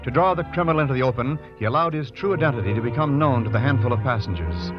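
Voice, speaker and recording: Formal radio serial narrator, voiced by a man, mid-pitched, music underneath, vintage broadcast. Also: lively intonation, very fast, lightly acted. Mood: dramatic, measured. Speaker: announcer and narrator. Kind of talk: 1940s radio scripted narration. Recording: muffled old radio broadcast, music behind.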